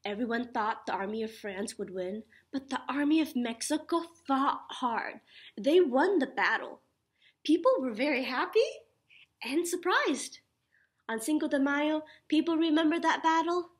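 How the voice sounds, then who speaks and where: A young woman teacher reading a children's picture book aloud in an animated, instructive way. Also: high-pitched, measured pace. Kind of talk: children's picture-book read-aloud with sing-song refrains. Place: small indoor classroom.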